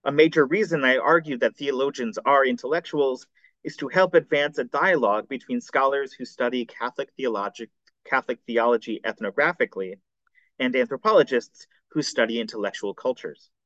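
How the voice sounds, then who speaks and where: A man, a scholar reading a prepared seminar paper aloud in a measured, formal way. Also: mid-range voice, a bit bright, dry recording. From United States. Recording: dull, dry recording.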